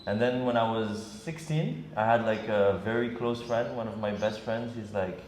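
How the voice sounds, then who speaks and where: A young man from Senegal, a guest DJ, talking casually in an interview with many ums and pauses. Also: on the low side. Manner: relaxed, hesitant, many ums and uhs. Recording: echoey room with background noise.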